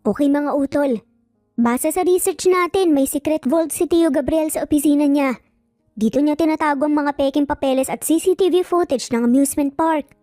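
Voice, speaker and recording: A cheeky little six-year-old boy acted out by a woman, with a very high, childlike voice and an earnest, exaggerated way of talking. It is a storybook figure, recorded dry with faint music behind. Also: moderate pace, mellow, no room sound, lightly acted. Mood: bossy, earnest. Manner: exaggerated childlike speech, playing little detectives.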